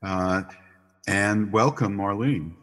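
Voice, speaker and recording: A deep-voiced man hosts a podcast, asking his questions slowly and carefully with many hesitant uhs. His low voice carries a long reverb, as if in a hall. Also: a bit bright, soft background music. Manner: measured, hesitant with frequent uhs. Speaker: podcast host and interviewer. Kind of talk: interview questions.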